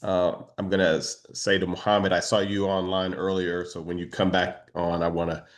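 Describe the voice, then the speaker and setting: A man hosting a business networking video call, talking casually with halting pauses between phrases. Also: sits low, flat delivery. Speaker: meetup host and moderator. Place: online video meeting.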